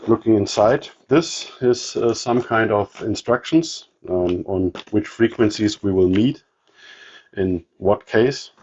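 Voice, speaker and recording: A man with a German accent showing his radio gear in a casual walkthrough, halting with many ums and restarts. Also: lowish voice, a little mellow, muffled recording, a little hiss or hum. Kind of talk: casual show-and-tell walkthrough.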